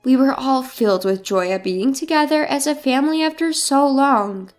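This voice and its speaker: A woman's voice: sits high, normal speed. A woman reading a scripted first-person dramatic story as its narrator. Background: background music.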